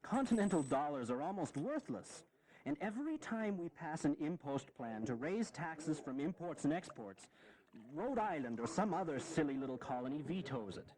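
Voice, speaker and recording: A blunt, gloomy early American statesman. The man's voice is fairly high and strongly inflected, arguing with exasperated emphasis in the style of a historical audio drama. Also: big pitch swings, moderate pace, a little mellow, rough recording.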